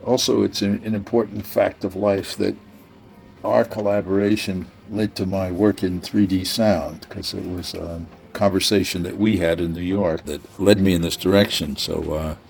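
A man's voice: low-pitched, steady tone, normal speed, gravelly, darkish tone. A man hosting an interview podcast, speaking as presenter and interviewer. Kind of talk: scripted introduction, then unhurried interview talk.

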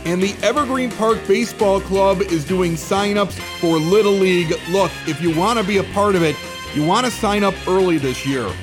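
A man hosting a local podcast with an animated radio-announcer delivery. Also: high-pitched, normal speed, music in the background. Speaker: podcast host. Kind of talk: scripted local news reads and casual bar chat. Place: at a bar.

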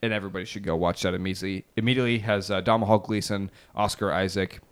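A man co-hosting a casual podcast, bantering about favourite films in an animated, opinionated way. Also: low-pitched, fast talker, clear, resonant tone. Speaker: podcast co-host.

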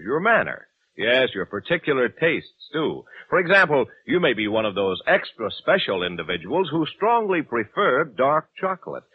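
A man's voice: mid-range voice, slightly rough, no echo. A man announcing in a polished, emphatic 1940s radio sponsor style. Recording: tinny old radio recording.

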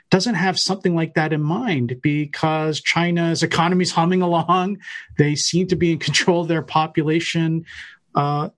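A man's voice: on the high side, a bit bright. A man hosting a conversational policy interview, thinking aloud as he builds long, discursive questions. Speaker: podcast host, interviewer.

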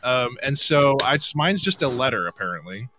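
A man's voice: mid-range voice, big pitch swings, moderate pace. A man hosting a casual live podcast chat, tossing in wry, incredulous asides. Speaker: podcast host.

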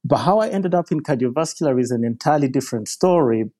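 Measured man with a mellow voice. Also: medium pitch, lively intonation. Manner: measured, explanatory, with hesitations. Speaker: guest physician. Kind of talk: podcast interview answer.

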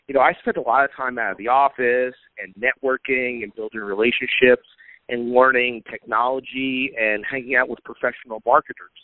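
Male podcast guest, easygoing storyteller, mid pitch, dry phone-line audio. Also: no echo. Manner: relaxed storytelling, many fillers, laughs easily. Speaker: podcast guest, marketing coach. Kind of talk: casual interview talk.

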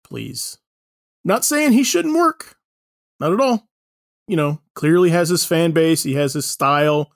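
A man's voice: on the high side, expressive, measured pace, no room sound, clean studio sound. A man hosting a casual panel chat, talking informally with the others. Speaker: podcast host.

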